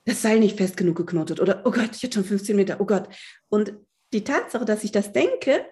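A woman's voice: mid-range voice, very animated, a little fast, warm tone. A woman with a mid-range voice talks as a podcast guest over a video call. Her speech is chatty and unpolished, full of restarts and small fillers. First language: not French. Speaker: guest, psychiatrist. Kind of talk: casual interview chat.

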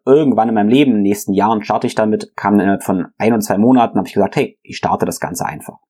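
Earnest German-speaking man, low voice. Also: warm tone. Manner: earnest, self-reflective, frequent fillers and repeated words. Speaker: podcast host. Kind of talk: reflective solo podcast monologue.

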